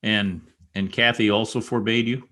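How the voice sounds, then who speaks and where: A man's voice: lowish voice, fairly even intonation, normal speed, bright, forceful tone. A man playing a bitter, commanding former stable boy turned rich, sardonic, in old-time radio drama style. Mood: probing, smooth.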